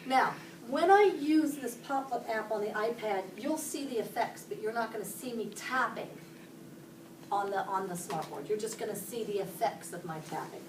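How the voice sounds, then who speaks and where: A woman's voice: mid-range voice, normal speed, far from the mic, noisy background. Woman teaching a class, echoey room. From Pittsburgh, Pennsylvania. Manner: explains step by step, asks the class questions. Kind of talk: classroom instruction. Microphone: camera across the room.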